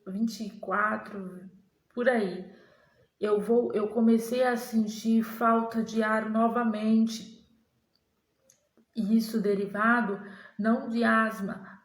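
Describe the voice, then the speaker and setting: A young woman giving a slow, earnest personal religious testimony to the camera, halting and restarting as she recalls things. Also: mid-range voice, creaky, echoey room. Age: young adult.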